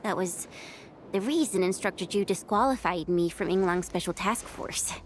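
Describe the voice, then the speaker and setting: A woman voicing a spirited young female fighter in a video game, expressive and blunt, speaking informally. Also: on the low side, normal speed, a little hiss or hum, natural voice. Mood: hesitant, puzzled.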